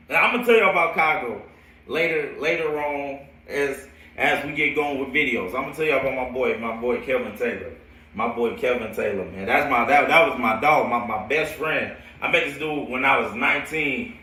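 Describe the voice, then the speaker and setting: A man's voice: medium pitch, sharp tone. An American man in his late twenties telling stories casually in a slangy, rambling way, often repeating his phrases. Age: late 20s. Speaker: football coach telling his own stories to viewers. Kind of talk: casual storytelling livestream. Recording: roomy echo, distant from mic.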